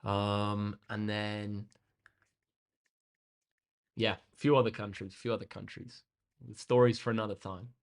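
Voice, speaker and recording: A young English man hosting a maths livestream, chatting casually with viewers in a relaxed way and using lots of ums and uhs. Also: fairly low pitch, a little slow, pauses often. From England. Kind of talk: casual chat answering live comments. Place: indoor room facing a big window.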